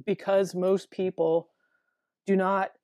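A woman therapist with a deep voice, talking frankly as an interview guest, often restarting her sentences. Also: flat delivery, slow, warm tone, no room sound, studio quality. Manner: frank, plain-spoken, with many restarts and fillers. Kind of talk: candid interview talk.

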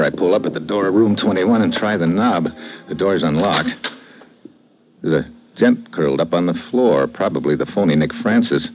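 An actor playing a wry, tough American secret agent in an old-time radio drama, with clipped, slangy, deadpan delivery. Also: male voice, deep voice, lightly acted. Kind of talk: old-time radio drama acting with first-person narration. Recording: dull old radio recording, music behind.

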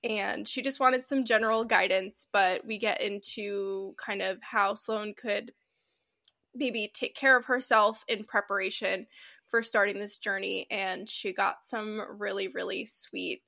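Bright-voiced woman, sick and nasal. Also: medium pitch, fairly even intonation, unhurried, gravelly. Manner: chatty, self-deprecating, laughs often. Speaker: podcast co-host. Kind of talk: casual chat and tarot reading.

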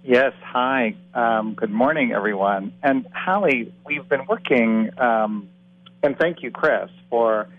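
A man introducing himself thoughtfully on a panel, pausing with frequent ums, heard over a phone line. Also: medium pitch, no echo. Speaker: coach educator. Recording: tinny phone-line audio.

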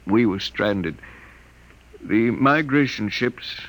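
An actor playing a frail, courtly elderly doctor in an old radio drama, with a hesitant, stammering delivery. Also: male voice, lowish voice, slow pace, rough recording. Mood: hesitant, explaining. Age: older. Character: kindly, frail elderly doctor, a lonely gracious host. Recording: vintage radio drama recording.

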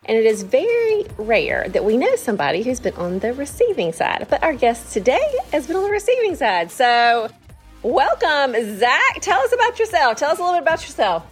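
A woman's voice: high voice, wildly swooping pitch, soft background music. A woman hosting a podcast in a casual, chatty way. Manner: chatty, spells out web addresses slowly. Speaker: podcast host.

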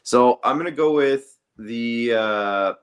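A man's voice: mid-range voice, slow pace. A man co-hosting a sports podcast, casually debating and earnestly repeating himself to press his point.

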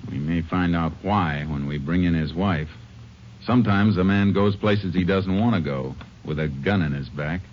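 An actor playing a calm, shrewd, measured Texas Ranger in a 1950s radio western. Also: male voice, low voice, background noise, close to an everyday voice. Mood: pondering. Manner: measured, businesslike. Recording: muffled old radio recording.

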